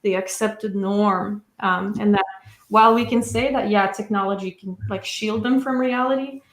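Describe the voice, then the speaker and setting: A woman's voice: mid-range voice, fairly even intonation, moderate pace, roomy sound, low-quality recording. A woman interpreting in a discussion, carefully and hesitantly piecing the points together.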